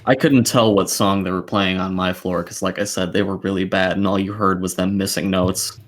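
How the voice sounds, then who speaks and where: A man's voice: low-pitched, steady tone, moderate pace. A man co-hosting a podcast, chatting casually and telling anecdotes in blunt, sweary language. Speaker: podcast co-host.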